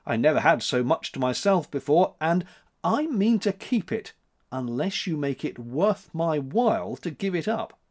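Man as bold little boy, raised pitch. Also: big pitch swings, unhurried, darkish tone. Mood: bold defiance. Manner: bold, bargaining. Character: Max, stubborn cheeky little boy. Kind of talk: storybook character reading.

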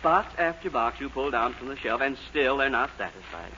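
An American actor playing a fussy, excitable shoe-store owner in a 1940s radio comedy, stammering and repeating himself. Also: male voice, mid-range voice, a little fast. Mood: flustered. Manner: fluttery, stammering, repeats phrases. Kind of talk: 1940s radio sitcom acting. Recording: muffled, noisy 1940s radio recording.

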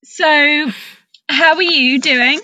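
A woman's voice: high-pitched, extremely slow, sharp tone, a little hiss or hum, poor audio. A woman from the English Midlands hosting a casual conversation, feeling her way through her sentences. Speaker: podcast host, actor.

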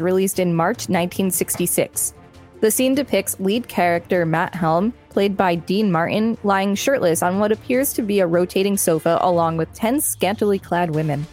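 A woman's voice: mid-range voice, darkish tone. A woman co-hosting a podcast, reading an article aloud and adding casual commentary. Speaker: podcast co-host.

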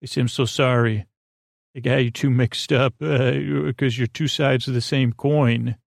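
Male sleep podcast host, middle-aged, mid-pitched and croaky, silly meandering monologue with repeats and unfinished thoughts. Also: steady tone, unhurried, dry recording, studio quality. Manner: silly, whimsical. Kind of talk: rambling bedtime monologue.

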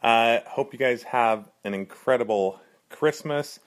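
A man hosting his own podcast in earnest, rambling casual talk, a little hoarse from a cold. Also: mid-range voice, unhurried. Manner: earnest, rambling, frequent fillers and restarts. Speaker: podcast host.

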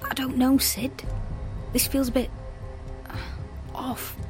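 A young female rebel in an audio drama. Her voice is a little high, with a wary, questioning edge and dry sarcasm. Music runs underneath her lines. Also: little pitch movement, slow pace, a little mellow. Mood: uneasy.

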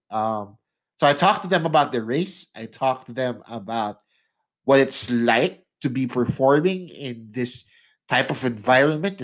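A man from the Philippines hosting a podcast, chatty and self-mocking as he introduces his guests. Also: medium pitch. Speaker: podcast host.

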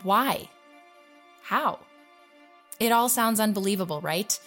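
A woman playing an earnest, intrigued journalist hosting an investigative audio-drama podcast, speaking deliberately. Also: medium pitch, sharp tone, faint music behind. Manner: earnest, deliberate, with rhetorical questions. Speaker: podcast host. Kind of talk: scripted investigative podcast narration.

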